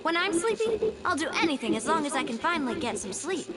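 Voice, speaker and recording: Very high-voiced, playful genteel young lady. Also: sing-song, quick pace. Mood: playful, musing. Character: confident, genteel young woman leader, playful and gracious. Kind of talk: video game character acting.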